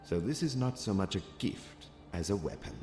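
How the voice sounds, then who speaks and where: An actor playing a calm, calculating alien officer in an audio drama, speaking formally and precisely. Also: male voice, low-pitched, normal speed. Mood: cool, composed. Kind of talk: audio comic drama acting. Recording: long reverb, music behind.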